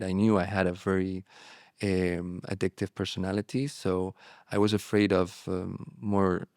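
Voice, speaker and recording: A man whose first language is Spanish, speaking candidly as an interview guest, reflective and halting with frequent restarts. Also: lowish voice, creaky, a little mellow, no room sound, studio quality. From born in Morocco, raised abroad. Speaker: guest, relapse prevention therapist.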